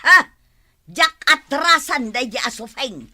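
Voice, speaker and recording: A woman's voice: sits high, expressive, slow pace, pushed and bright, exaggerated voice. An Ilocano-accented woman playing a stubborn, boastful older village mother in a comedy radio drama. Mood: annoyed.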